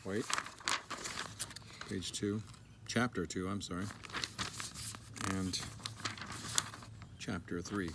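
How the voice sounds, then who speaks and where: A man's voice: sits low, steady tone, very slow, long pauses. A man chatting casually to camera as a father presenting his child's story. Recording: clearly noisy background.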